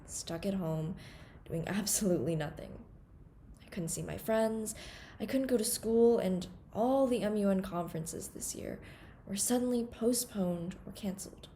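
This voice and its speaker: A teenage girl from Hong Kong gives a formal opening speech, earnest and measured. Her voice is mellow and dark with a gravelly edge, and a faint hiss of noise sits under it. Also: mid-range voice. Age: teens. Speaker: conference undersecretary general giving a welcome speech. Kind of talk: prepared ceremonial speech.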